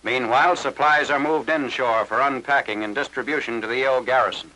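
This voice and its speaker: A noisy old newsreel soundtrack carries an American man's voice at a medium pitch. He narrates in scripted 1940s style, formal and clipped like a military announcer. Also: a little fast, pushed and bright.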